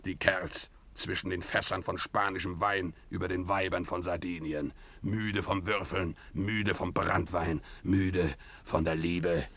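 Greedy drunken pirate skipper, 1950s radio drama; forceful, gravelly, swinging widely from mutter to bellow. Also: male voice, sits low, full character voice. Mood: weary, boastful. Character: drunken, boastful, greedy pirate sea captain. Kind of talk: 1950s German radio play acting.

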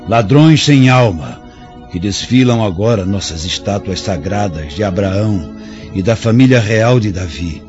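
Defiant doomed captive: lowish voice, Brazilian accent, indignant declamation, dull radio-play sound over music. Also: male voice, lively intonation, unhurried. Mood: bitter indignation. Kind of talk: radio drama acting in a historical serial.

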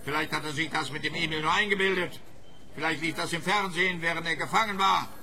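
A man's voice: fairly high pitch, quick pace, pushed and bright, a little hiss or hum. An actor playing a pompous, pedantic policeman in a comic radio detective play, with a lecturing manner. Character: pompous, pedantic male police officer, self-satisfied.

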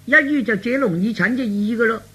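A man voicing an easygoing, exclamatory elderly father in Cantonese radio storytelling, with a high, thin voice. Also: fairly quick, sharp tone, slightly rough, heavily acted, poor audio. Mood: nonchalant.

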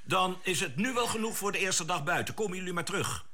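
A man's voice: high-pitched, expressive, quick pace, pushed and bright, exaggerated voice. A man acting a composed, decisive spaceship commander in a science fiction radio drama, with an authoritative, businesslike delivery.

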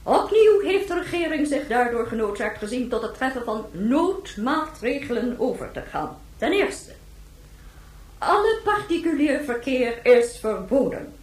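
Female president, high voice, formal radio drama address, measured, official, echoey room. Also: very animated, a little mellow. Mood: solemn, official. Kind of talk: formal government broadcast speech in radio drama. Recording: echoey, roomy sound.

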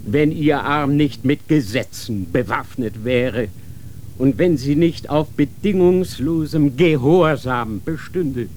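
Cold, worldly inquisitor in a radio play, unhurried lecturing delivery. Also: male voice, mid-range voice, lively intonation, darkish tone, slight background noise. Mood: severe. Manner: lecturing, severe, rhetorical questions. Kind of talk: radio drama acting.

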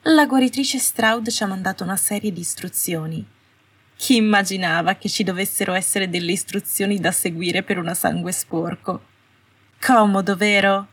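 A woman voicing a haughty, sarcastic young witch in an audiobook, with a small, brittle, high-strung voice. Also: normal speed, husky, natural voice. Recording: roomy, slightly distant home recording.

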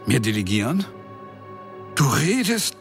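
A forgetful, grumbling old chief angel. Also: male voice, medium pitch, sing-song. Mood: flustered, testy. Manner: halting, searching for words, grumbling. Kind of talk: German radio drama acting. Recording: music behind the voice.

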